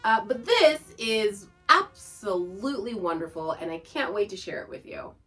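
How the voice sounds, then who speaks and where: A woman's voice: mid-range voice, big pitch swings, moderate pace, bright, forceful tone, recorded from a distance, faint music behind. A woman hosting a podcast, casually explaining and introducing shows in an informative way. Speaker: podcast host.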